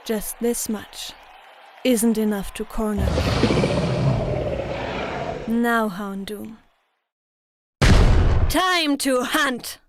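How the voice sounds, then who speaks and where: A woman playing a composed, proud monster trainer in an anime-style fan dub, measured and quietly confident. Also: on the high side. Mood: quietly defiant. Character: composed, proud female monster trainer, gentle yet menacing. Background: background music.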